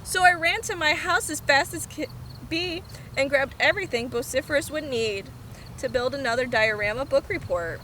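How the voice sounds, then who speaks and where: A woman reading a children's picture book aloud in an expressive storytime style. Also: high-pitched, moderate pace. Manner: expressive, animated. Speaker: storytime reader. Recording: noisy outdoor camera recording.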